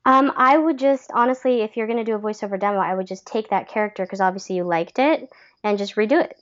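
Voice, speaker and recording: Voice coach, female, chatty and encouraging, mid pitch, lo-fi webinar sound. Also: fast. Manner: friendly, chatty, many fillers. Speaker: voice acting coach. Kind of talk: live webinar Q&A. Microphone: webcam call.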